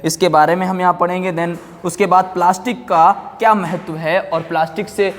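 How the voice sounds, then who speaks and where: High-pitched male teacher, heavy reverb. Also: fairly even intonation, normal speed. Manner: explanatory, repeats check-words and phrases; mixes in English terms. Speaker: physics teacher. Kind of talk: online exam-prep class talk. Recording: long hall-like reverb.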